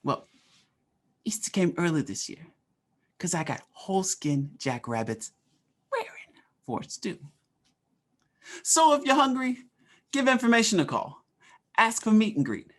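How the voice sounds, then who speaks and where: A woman's voice: medium pitch, big pitch swings, a little slow, pauses often. A woman playing a folksy, pushy male butcher who gives a wisecracking, streetwise hard sell in an old-time radio ad. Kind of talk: 1940s radio ad acting.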